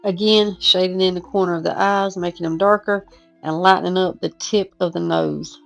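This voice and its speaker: A woman who is losing her voice, hoarse and chatty, talking through a casual painting lesson over soft guitar music. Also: lowish voice, fairly even intonation, normal speed. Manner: casual, chatty, self-deprecating. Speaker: art teacher. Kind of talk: relaxed painting tutorial voice-over.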